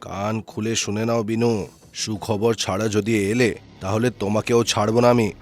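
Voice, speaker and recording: Man playing a deep-voiced, menacing cartoon villain boss. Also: level tone, unhurried, natural voice. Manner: menacing, commanding. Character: ruthless, scheming male restaurant owner villain.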